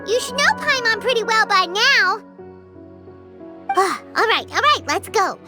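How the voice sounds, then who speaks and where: A tiny, cheeky game fairy with an extremely high, squeaky voice. The delivery is drawn out and eager, swinging up and down, with music behind it. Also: female voice, lively intonation, measured pace. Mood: breezy, confident. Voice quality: squeaky, childlike. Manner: exclamatory, eager. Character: small childlike floating fairy companion, excitable and cheeky. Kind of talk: video game voice acting.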